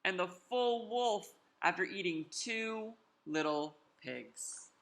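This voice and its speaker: A bright, forceful voice reads a children's story aloud with theatrical emphasis. The pace is slow and deliberate, and the room adds a noticeable echo to the home recording. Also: mid-range voice, animated. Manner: theatrical, drawn-out emphasis, invites listeners to join in. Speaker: storyteller reading a picture book aloud to children. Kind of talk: animated children's story reading, performed in first person.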